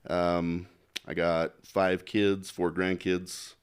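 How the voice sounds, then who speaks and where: A man's voice: deep voice, level tone, drawn-out words, clear, resonant tone. A middle-aged man from Utah, a candidate for office, talking bluntly in a casual interview with many fillers. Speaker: congressional candidate.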